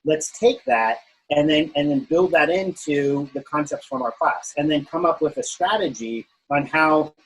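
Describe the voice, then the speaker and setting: A man's voice: a little high, moderate pace, hardly any pauses, a little hiss or hum, poor audio. A man, a college professor, answering interview questions over a video call in a thoughtful way, restarting phrases as he thinks.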